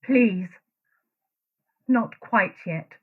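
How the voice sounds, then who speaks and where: Radio drama: actress plays anguished secret lover, husky, clipped and earnest, thin phone-like recording. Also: female voice, medium pitch, extremely slow. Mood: subdued, resigned. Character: anguished, guilt-ridden woman in secret love affair. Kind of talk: radio play acting.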